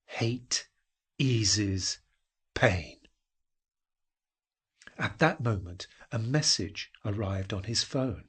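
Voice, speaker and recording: Husky, slow-paced male story narrator. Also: lowish voice, a bit bright. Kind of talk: dramatic scripted story narration.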